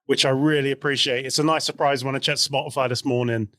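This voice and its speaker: A man with a medium-pitched voice, recorded close and dry. He chats casually and banters like a podcast co-host talking with a friend. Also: steady tone, fast talker, pushed and bright, no echo.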